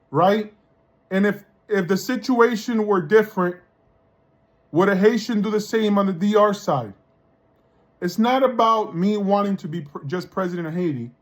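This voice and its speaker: A man from the Dominican Republic giving a casual spoken monologue, often tagging his points with "right?" and restarting sentences. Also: sits high. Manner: conversational, often tags lines with "right?" and restarts. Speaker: vlogger.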